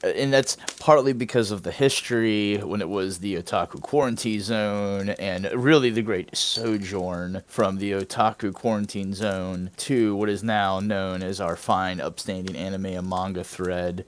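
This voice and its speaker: A man's voice: sits low, fairly even intonation, a little slow, hardly any pauses, slight background noise. A man, co-hosting a casual chat and telling jokey, rambling stories. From Southern United States. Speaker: podcast co-host.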